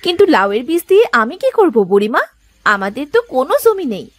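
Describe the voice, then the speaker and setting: A caring, sharp-tongued village housewife from a cartoon moral tale. Her voice is high and very lively in pitch. She delivers every line like expressive domestic drama. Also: fast, dry recording. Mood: caring, friendly. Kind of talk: Bengali moral-story cartoon dubbing.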